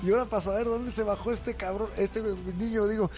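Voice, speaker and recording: Man, slightly high voice, laid-back, slangy, light music behind. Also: steady tone, fast. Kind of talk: casual chat, anecdote telling.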